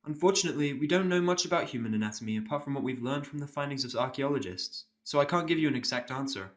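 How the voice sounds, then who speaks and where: A man's voice: mid-range voice, expressive, fast, pitched above the performer's own voice, lightly acted. A man voicing a polite, timid female nurse pony, earnest and deferential, in an amateur audio drama. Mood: careful, nervous. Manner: deferential, explanatory.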